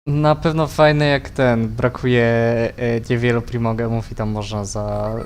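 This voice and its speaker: A man's voice: medium pitch, little pitch movement, moderate pace. A man with a Polish accent giving casual, off-the-cuff commentary as he streams a video game. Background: game music.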